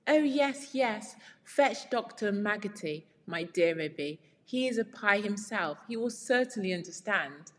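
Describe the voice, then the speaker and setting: A woman's voice: medium pitch, animated, measured pace, hardly any pauses, natural voice. Woman doing a storybook lady dog, polite but super fretful and flustered, big echoey room. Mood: alarmed, moaning. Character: anxious, polite female dog tea guest. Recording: very reverberant room.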